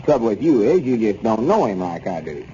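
A man's voice: lowish voice, big pitch swings, fairly quick, dark tone. Grumbly stubborn old southern country man, folksy, 1930s radio comedy, dull noisy sound. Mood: bitter, indignant. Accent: rural Southern US. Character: aggrieved, stubborn older rural Arkansas storekeeping man.

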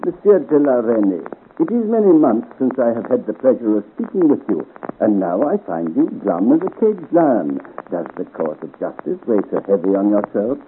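A thin, hissy vintage radio recording carries an ageing, courteous French duke, acted by a man. His mid-pitched voice moves widely and expressively, with formal, theatrical phrasing and hidden shrewdness. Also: big pitch swings, normal speed, phone-quality sound, natural voice. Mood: courteous, probing. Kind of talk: 1950 radio serial acting. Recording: scratchy, thin, noisy 1950s radio recording.

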